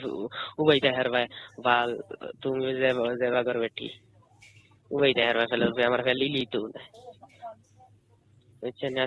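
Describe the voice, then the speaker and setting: A man with a Sylheti Bengali accent talking casually and insistently on a private phone call, asking questions and repeating himself. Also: medium pitch. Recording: muffled noisy phone call recording.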